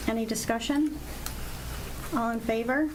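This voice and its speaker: A woman chairing a village council meeting, moving briskly through procedural motions. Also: fairly high pitch, steady tone. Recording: noisy meeting-room recording.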